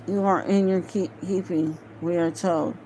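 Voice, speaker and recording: A woman's voice: on the low side, fairly even intonation, unhurried, mellow, low-quality recording. A woman reading a fantasy novel aloud as an amateur, hesitant and often stumbling over words. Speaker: amateur audiobook reader. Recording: home recording with faint background noise.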